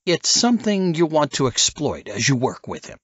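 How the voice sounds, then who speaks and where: A man's voice: fairly high pitch, sing-song, moderate pace, fairly bright tone, husky, dry recording, highs cut off, voice pushed up, exaggerated voice. A man voicing a knowing, calculating male spymaster in an audiobook, curt and condescending as he instructs. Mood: instructive.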